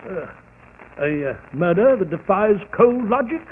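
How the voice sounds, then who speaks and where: Brief: an eager sidekick, high lively voice, tinny broadcast with faint hiss. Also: male voice, unhurried. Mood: admiring, chatty. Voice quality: hearty, hesitant, admiring. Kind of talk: 1940s radio acting.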